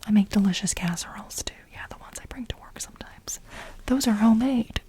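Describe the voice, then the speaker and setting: A woman in a soft-spoken ASMR role play as a fawning female lab assistant, mostly whispering in a breathy, halting, coaxing way. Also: mid-range voice. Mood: coaxing, sympathetic. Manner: halting, coaxing delivery with repeated phrases.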